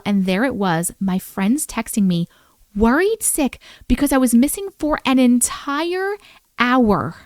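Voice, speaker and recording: A woman in her thirties reading viewer-submitted scary stories aloud in a bedroom, breaking off for chatty, animated comments. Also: a little high, no echo, clean studio sound. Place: bedroom, indoors. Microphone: large studio mic on boom in front of her.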